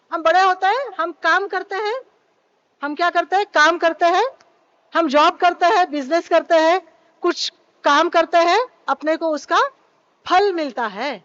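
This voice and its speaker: A woman's voice: very high voice, a bit bright, dry recording, highs cut off. A woman giving a spiritual talk at a yoga event, speaking into a microphone and often asking her listeners questions. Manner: keeps asking the audience questions; mixes in English phrases. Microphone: microphone at a live event.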